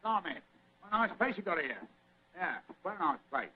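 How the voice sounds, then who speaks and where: An actor playing a cheeky, rough beggar with a cockney accent in a radio drama. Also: male voice, sits high, big pitch swings, moderate pace, voice pushed up, heavily acted. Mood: cheeky, brash. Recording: muffled old radio recording.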